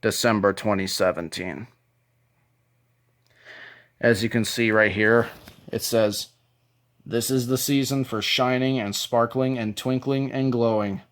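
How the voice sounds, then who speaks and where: A man with a mid-range voice talks through a homemade show-and-tell vlog. His delivery is deliberate and sentimental, with the informal feel of someone sharing keepsakes and reading from them. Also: mid-range voice, steady tone. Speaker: YouTube video creator.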